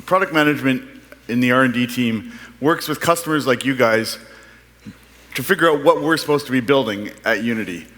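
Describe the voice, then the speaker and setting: A man's voice: medium pitch, brisk, a bit bright. Man presenting in a reverberant hall. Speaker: product manager presenting software. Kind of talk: conference product presentation. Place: large indoor conference hall. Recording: echoey hall with long reverb.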